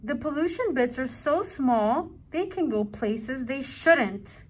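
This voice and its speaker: A woman reads speech bubbles from a children's book, her voice high and unhurried, with pitch that swings widely. Faint room noise sits behind her, and at times she sounds a little off the mic. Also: expressive, darkish tone, breathy edge.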